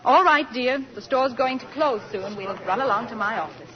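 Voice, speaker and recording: A woman playing a no-nonsense, guarded working mother in a 1940s radio drama, brisk, polite and firm. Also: a little high, lively intonation, crowd noise, natural voice. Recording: muffled vintage 1940s radio broadcast.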